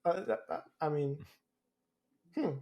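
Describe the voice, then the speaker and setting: A man's voice: on the high side, takes it slowly, vocal fry, lo-fi sound. A man co-hosting a podcast, telling stories in casual slang and acting out what other people said. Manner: animated slangy retelling, quoting others in dialogue. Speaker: podcast co-host. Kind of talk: casual chat, storytelling.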